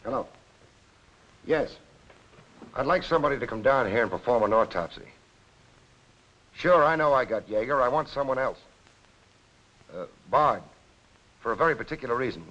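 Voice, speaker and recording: A man's voice: medium pitch, lively intonation, moderate pace, pauses often, fairly bright tone, dull audio. An American man acting a tough, sceptical police lieutenant in a 1940s crime film, clipped and authoritative. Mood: businesslike. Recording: hissy old film soundtrack.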